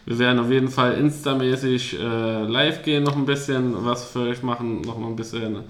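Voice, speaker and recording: A man's voice: medium pitch, steady tone, moderate pace, roomy sound. A man co-hosting a podcast, chatting casually and rambling with many fillers and restarts. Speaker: podcast co-host. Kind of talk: casual chat.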